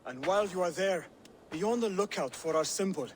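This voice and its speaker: An actor playing an earnest, scholarly assassin mentor in a video game, speaking formally and deliberately. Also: male voice, high voice, expressive, a little hiss or hum. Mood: composed, earnest. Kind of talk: dramatic video game cutscene acting.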